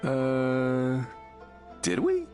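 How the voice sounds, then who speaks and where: A slow, dazed game fisherman. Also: mid-range voice, wildly swooping pitch, a little mellow, exaggerated voice. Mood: blissfully carefree. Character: carefree, dazed male fisherman with buried worries. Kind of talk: video game voice acting. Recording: music behind the voice.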